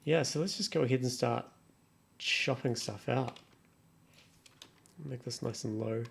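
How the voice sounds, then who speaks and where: A man's voice: medium pitch, unhurried. A man casually thinking aloud as he walks through music production steps on his computer, offhand and muttering to himself. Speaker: music production tutorial creator. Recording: clear background noise, keyboard and mouse clicks. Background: computer typing and clicking.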